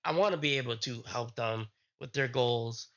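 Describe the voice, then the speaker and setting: A young adult man, sick with a strained voice, chatting casually on a live stream in a halting, rambling way. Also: medium pitch, a bit bright, lo-fi sound.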